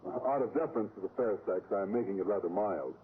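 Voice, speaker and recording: A man's voice: fairly low pitch, fairly quick, close to an everyday voice. An actor playing a mild, naive and courteous male teacher, earnest and oblivious, in an old-time radio comedy. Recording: scratchy, tinny old radio recording.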